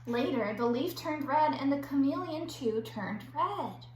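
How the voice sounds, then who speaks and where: A woman schoolteacher reading aloud to young children and explaining an activity in simple, clear phrases. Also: sits high, expressive, measured pace, darkish tone. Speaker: elementary school teacher. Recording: echoey room with faint background noise.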